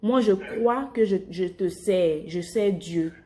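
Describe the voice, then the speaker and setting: A woman's voice: on the low side, slow pace, mellow. A woman evangelising on a phone call, explaining her faith informally and rephrasing as she goes. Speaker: evangelist calling strangers by phone.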